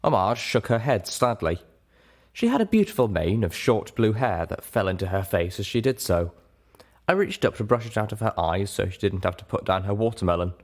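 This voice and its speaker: Low-pitched man narrating a story. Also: expressive, a little fast. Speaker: audiobook narrator.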